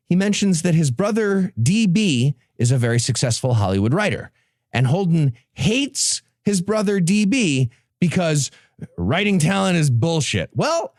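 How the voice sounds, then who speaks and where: A man's voice: high voice, no echo, studio quality. A man hosting a comedy podcast, giving a sarcastic, profane recap to his co-hosts. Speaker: podcast host.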